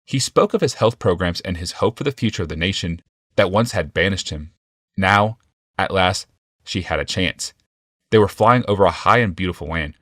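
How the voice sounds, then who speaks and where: A man with a low-pitched voice narrates a fantasy audiobook. The sound is dry and free of room reflections. His delivery is scripted and dramatic, shaped as storytelling. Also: dry recording.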